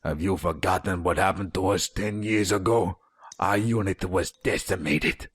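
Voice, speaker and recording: A man's voice: deep voice, moderate pace, slight background noise, natural voice. A man acting in a radio drama as a bitter, betrayed soldier with revolutionary ideals, intense and dramatic. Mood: indignant. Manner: intense, dramatic, swinging from murmur to outburst.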